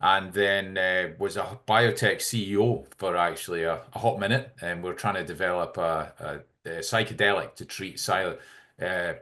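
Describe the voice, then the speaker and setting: A middle-aged Scottish man with a low-pitched voice speaks as an interview guest. He explains things in a measured, articulate way, in an easy conversational register. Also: fairly even intonation, bright, forceful tone. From Scotland. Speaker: podcast guest, tech company CEO.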